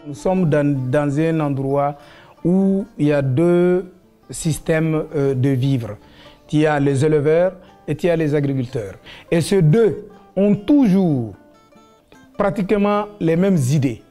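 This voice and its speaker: A man speaking in a documentary interview, explaining things with many pauses and fillers. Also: a little high, warm tone, soft background music.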